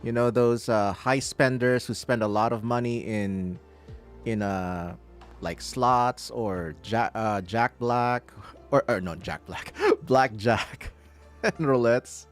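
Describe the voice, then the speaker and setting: A man's voice: medium pitch, takes it slowly. A man streaming a game live, casually explaining things and chatting with his viewers. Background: game music.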